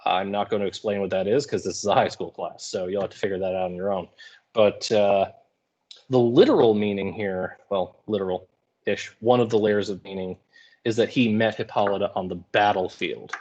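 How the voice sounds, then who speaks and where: A man giving an informal lecture as a high school English teacher, explaining in colloquial paraphrase. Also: steady tone, normal speed, darkish tone, muffled recording.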